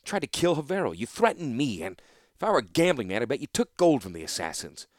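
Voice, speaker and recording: A man voicing a stern veteran weapons master who gives calm, commanding orders. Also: medium pitch, quick pace, no room sound, studio quality, pitched above the performer's own voice, close to an everyday voice. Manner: calm, commanding, dryly threatening.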